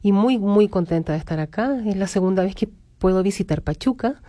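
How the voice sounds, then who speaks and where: Chilean woman, soft dry voice. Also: medium pitch, animated, no echo. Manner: grateful, measured. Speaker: theatre company member. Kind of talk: radio interview.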